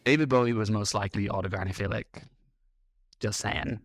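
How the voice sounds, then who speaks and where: A man's voice: on the low side, brisk, no echo, clean studio sound. An Irish man in his thirties, a podcast host reacting to clips in a blunt, combative and sarcastic way. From Ireland. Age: 30s.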